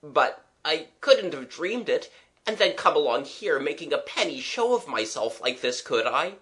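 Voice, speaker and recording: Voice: mid-range voice, very animated, moderate pace, fairly bright tone, voice pushed up. A reader voicing a flustered working-class young man, gasping, agitated and apologetic, in a storybook. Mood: bewildered, agitated.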